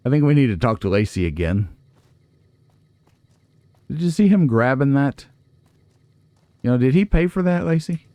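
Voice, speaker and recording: A man's voice: mid-range voice, lively intonation, normal speed, pauses often, darkish tone, dry recording. A man giving casual gameplay commentary, chatty and folksy, musing aloud to himself. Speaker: let's play host.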